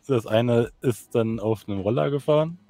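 A man's voice: medium pitch, moderate pace, dark tone, no echo, faint music behind. Man in casual game chat, dry sound. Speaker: roleplay game player. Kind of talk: casual in-character storytelling and banter.

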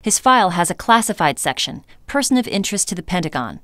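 An actress playing a composed intelligence officer in a video game, giving matter-of-fact briefings. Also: female voice, lowish voice, big pitch swings, fast, fairly bright tone, no echo, studio quality. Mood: businesslike. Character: composed female covert-agency intelligence officer. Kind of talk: video game cutscene acting.

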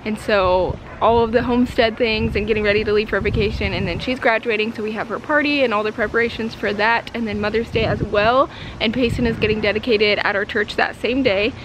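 A woman's voice: mid-range voice, fairly even intonation, fairly quick. Young woman vlogging outdoors over background noise. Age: young adult. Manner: conversational, frequent "like" and "you know". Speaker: homesteading family vlogger. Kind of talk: casual chat to camera. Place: outdoors in a grassy backyard.

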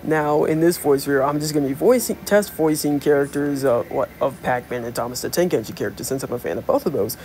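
A man's voice: a little high, fast, few pauses, darkish tone, slight background noise. A man gives a casual introduction to his amateur voice reel. His delivery is informal and conversational. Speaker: amateur voice actor.

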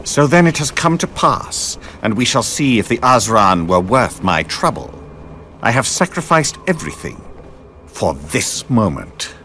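An actor playing a grandiose, defiant villain in an animated game, theatrical and pompous. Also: male voice, mid-range voice, unhurried, slight background noise. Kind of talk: animated game dramatic acting. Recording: loud music behind the voice.

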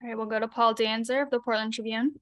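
Female moderator, formal and even, slightly high pitch, close dry audio. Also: fast, dry recording. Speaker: press conference moderator.

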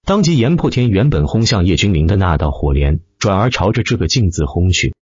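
Husky, deep-voiced male audiobook narrator. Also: sing-song, brisk, a little mellow, no room sound, highs cut off. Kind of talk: dramatic scripted narration of a fantasy web novel.

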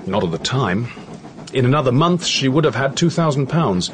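Radio drama: male actor as earnest English doctor, clipped and defensive, very varied pitch, noisy backdrop. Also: sing-song, moderate pace, lightly acted. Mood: matter-of-fact.

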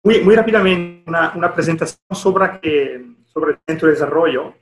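A man's voice: fairly high pitch, moderate pace. A middle-aged man from Italy with an Italian accent gives a formal presentation in a non-native language, often searching for words, on a headset in a video call. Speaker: deputy director at an international organisation, presenting. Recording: roomy echo on video call.

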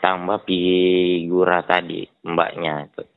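Deep gravelly man on a phone line. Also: monotone, slow, slight background noise. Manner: polite, eager to please, repeats "siap, Mas". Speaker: suspected scam seller on a phone call. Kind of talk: phone conversation. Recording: tinny phone-line recording.

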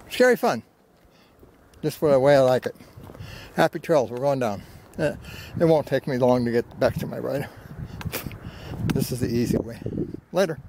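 An older man in his seventies talks casually as he hikes, with plenty of gravel in a mid-pitched voice. He is folksy and pokes fun at himself. It is recorded outdoors on a handheld camera, with faint background noise. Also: darkish tone, rough recording. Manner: folksy, self-deprecating. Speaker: hiking vlogger. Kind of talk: casual narration while hiking. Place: outdoors on a rocky desert mesa slope. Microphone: handheld camera at arm's length.

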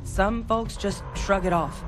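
Woman acting tough game soldier, earnest, mid-pitched, matter-of-fact, music behind. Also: slow pace, natural voice. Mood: explaining. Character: tough, earnest soldier, expert on alien creatures. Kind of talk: video game character dialogue.

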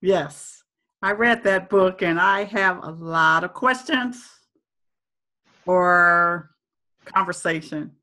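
A woman's voice: lowish voice, slow pace, pauses often, bright, forceful tone. A middle-aged woman, interviewing conversationally as a talk show host on a video call. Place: indoor room.

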